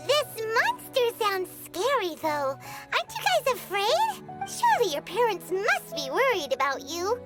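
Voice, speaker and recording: A woman's voice: extremely high pitch, wildly swooping pitch, unhurried, exaggerated voice. A woman voicing a small childlike fairy companion in a video game, earnest and cautious, speaking of herself in the third person. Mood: puzzled, wary. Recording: loud background music.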